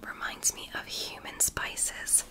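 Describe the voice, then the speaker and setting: A woman whispering as a gentle alien woman doctor in an ASMR roleplay, softly praising and reassuring her patient. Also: close to an everyday voice. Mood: soothing. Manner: soft, slow, praising and reassuring.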